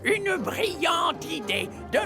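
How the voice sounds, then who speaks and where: Scheming cartoon pirate critter, very high, swooping, unhurried, bossy. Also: female voice, sing-song, exaggerated voice. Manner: bossy, giving crew orders. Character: scheming pirate critter, small mischievous villain. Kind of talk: French cartoon dubbing. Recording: music under the voice.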